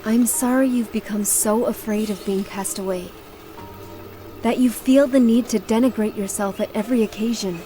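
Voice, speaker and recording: A woman's voice: fairly high pitch, steady tone, moderate pace, mellow, a little hiss or hum, close to an everyday voice. An actress playing an earnest, firm young woman of faith in a video game cutscene. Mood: earnest, blunt.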